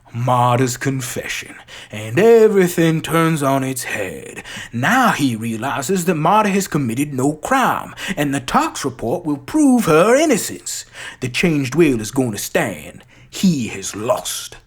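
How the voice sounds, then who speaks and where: Someone doing a southern detective guy, big drawl, grandiose and theatrical, pitch swings all over. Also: slightly rough, exaggerated voice. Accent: American South. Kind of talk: dramatic recitation of a film monologue.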